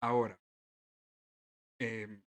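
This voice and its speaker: A man's voice: fairly low pitch, flat delivery, extremely slow. A man hosting a casual talk show, chatty and agreeable, often affirming his guest with short replies. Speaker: podcast host. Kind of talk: casual chat.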